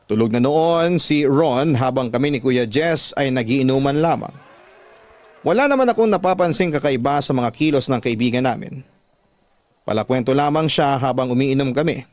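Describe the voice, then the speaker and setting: A man's voice: medium pitch, moderate pace, darkish tone, dry recording. A man narrating a scripted first-person story as a radio drama narrator. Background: music.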